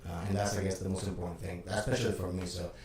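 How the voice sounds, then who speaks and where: Male co-host, relaxed chat full of "uh"s, echoey room, off-mic. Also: sits low, very fast, far from the mic, slight background noise. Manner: relaxed, with frequent "uh" fillers. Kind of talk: live stream chat.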